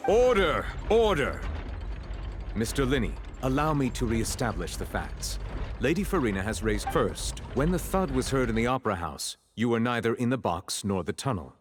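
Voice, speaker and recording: A man playing a stern, authoritative judge presiding over a court in a video game, formal and measured. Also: lowish voice, very animated. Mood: commanding. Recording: noisy courtroom ambience and crowd.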